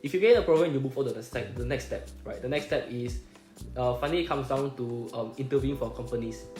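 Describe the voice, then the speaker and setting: A man's voice: medium pitch, fast talker, a little mellow, faint music behind. Young Malaysian man, chatty and echoey. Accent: Malaysian English. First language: Chinese. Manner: explanatory, peppers sentences with "lah" and "right?". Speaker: podcast co-host. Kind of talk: casual chat.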